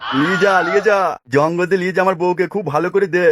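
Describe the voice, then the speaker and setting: A man's voice: high-pitched, quick pace, very noisy, close to an everyday voice. A man voicing a lewd drunken husband in a comedy cartoon, bragging repetitively.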